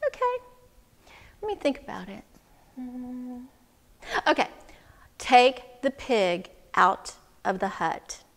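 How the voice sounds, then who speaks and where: A woman voicing a calm, thoughtful village wise woman in a storybook tale, pondering and matter-of-fact. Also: sits high, a little slow, pauses often, big-room sound, full character voice. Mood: calm, deliberate.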